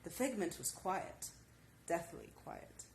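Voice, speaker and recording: A woman's voice: lowish voice, wildly swooping pitch, slow, a little mellow. A woman author reading descriptive fiction aloud as a dramatic narration. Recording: echoey room with faint noise.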